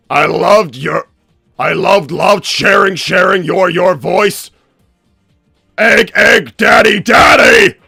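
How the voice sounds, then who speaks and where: Shouty, gravelly mad-scientist villain. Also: male voice, high voice, dry recording, studio quality, heavily acted. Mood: frantic. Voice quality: gravelly, raspy. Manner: theatrical, exasperated, rambling. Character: flamboyant, excitable male mad-scientist villain hosting a debate. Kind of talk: improvised in-character livestream hosting.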